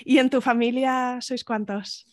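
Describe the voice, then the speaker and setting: A woman's voice: fairly high pitch, steady tone, unhurried. A woman hosting a podcast, introducing the show and chatting with her guest as interviewer.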